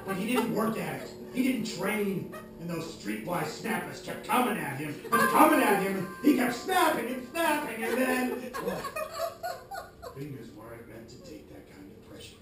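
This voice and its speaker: A man's voice: high-pitched, very animated, moderate pace. A man playing a washed-up older former trainer in a live comedy radio play, streetwise and dramatic, a reluctant mentor. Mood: grave warning. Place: live performance venue. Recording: echoey live stage, distant mic, music.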